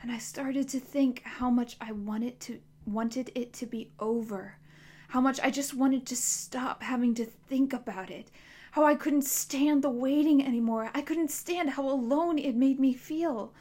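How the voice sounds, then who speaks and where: A woman's voice: fairly high pitch, unhurried, hardly any pauses, warm tone. A woman voicing a grieving, guilt-ridden teenage boy who pleads with a choked, rising voice. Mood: distraught.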